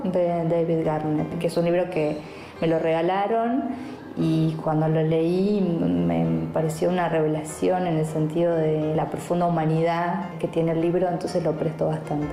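A woman with an Argentine accent talks casually as an interviewed writer. Her voice is mellow and a little low, recorded in a roomy space with faint music. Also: steady tone, unhurried.

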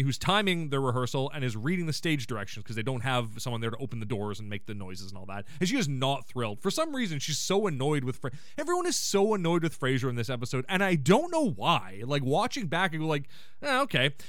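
A man hosting a podcast, giving a casual, slangy comedic recap in an excitable way and acting out quotes. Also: a little high, no room sound, studio quality. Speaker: podcast host.

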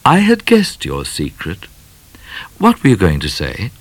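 A man's voice: sits low, wildly swooping pitch, measured pace, no echo, exaggerated voice. A man reading aloud, voicing a vain, disdainful young lady in a storybook. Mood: haughty. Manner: disdainful, prim.

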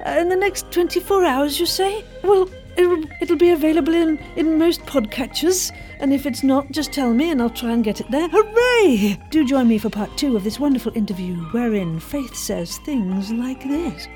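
A woman's voice: sits high, animated, normal speed, hardly any pauses, darkish tone, soft background music. A woman hosting a podcast in an effusive, playful and theatrical way, chatting casually and enthusiastically. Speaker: podcast host and interviewer.

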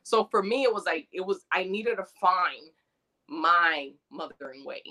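A woman from New York, born in the Dominican Republic, talks earnestly with a bright, forward tone. Her pitch sits a little low for a woman. She chats freely and comes alive when she retells a moment. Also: a little slow. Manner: earnest and chatty, animated retelling. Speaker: doula, guest. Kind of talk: casual interview conversation.

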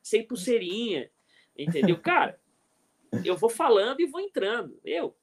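A middle-aged Brazilian man with a Brazilian Portuguese accent, a guest coach telling animated anecdotes in a casual interview and often checking that the listener follows. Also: sits high, a little slow. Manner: animated anecdotes, frequent "entendeu?", chuckles.

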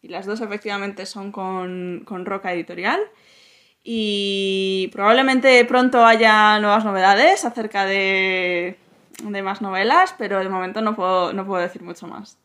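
A woman from Madrid talks about her writing in a podcast interview. She weighs her words and often pauses or restarts a phrase. Her voice sits at an ordinary middle pitch for a woman. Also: steady tone, a bit bright. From Spain, Madrid. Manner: thoughtful, many pauses and restarts. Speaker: novelist guest.

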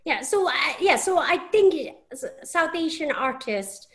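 A woman's voice: high voice, expressive, a little slow, fairly bright tone. A young English woman, a podcast guest, talking casually with many restarts and fillers. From England.

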